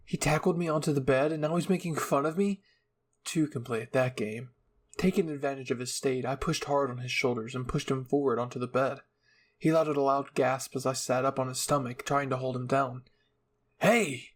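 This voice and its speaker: A man's voice: medium pitch, steady tone, a little fast. A man reading a scripted fan fiction story as narrator, in the style of an ASMR roleplay reading.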